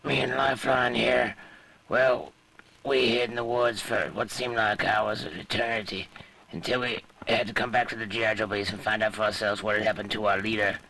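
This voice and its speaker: A grim male soldier acted by a man speaks low and forcefully. His delivery is dramatic and emphatic, like amateur military cartoon dubbing, with faint noise underneath. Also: flat delivery, moderate pace, creaky, poor audio.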